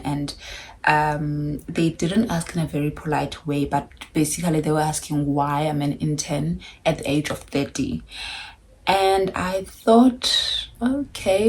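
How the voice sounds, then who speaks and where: A woman's voice: deep voice, a little mellow, slightly rough. A South African woman in her thirties talking to camera in a chatty, candid vlog with wry asides. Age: 30s. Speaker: vlogger.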